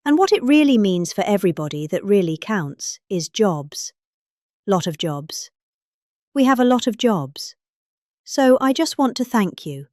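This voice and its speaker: A woman's voice: medium pitch, sing-song, a little mellow, no room sound, studio quality. A middle-aged British woman reading a political speech for comic effect, with exaggerated emphasis on her words. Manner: exaggerated emphases, deliberate word stresses. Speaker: reader.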